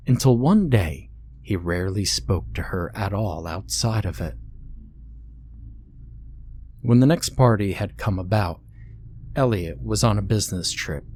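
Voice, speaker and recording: Low-pitched man narrating a horror story. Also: a little mellow, a little hiss or hum.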